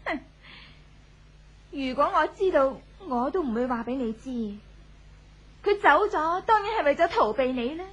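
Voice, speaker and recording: An actress playing a proud, cutting young wife in a 1970s radio drama, cool and scornful. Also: female voice, sits high, expressive, unhurried, long pauses, a bit bright. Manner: haughty, cutting. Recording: old 1970s radio broadcast.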